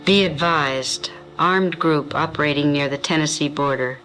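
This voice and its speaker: A man playing a steady, weary survivor on the radio in an audio drama, with measured, deliberate delivery. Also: fairly high pitch, soft background music, rough recording. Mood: cautionary.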